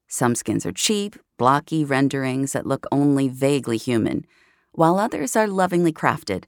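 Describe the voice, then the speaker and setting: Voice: mid-range voice, creaky, no room sound, clean studio sound. An actor playing an introspective young regular of a virtual-reality world, narrating an audio drama in an intimate, confiding way. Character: introspective young VR social-space regular named Jamie. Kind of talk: first-person scripted audio drama narration.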